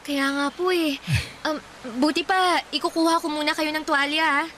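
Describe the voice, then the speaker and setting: A sweet caring daughter, young, a bit high pitched, faint hiss. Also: female voice, fairly even intonation, normal speed. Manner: earnest, caring, bright. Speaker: voice actor. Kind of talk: radio drama acting.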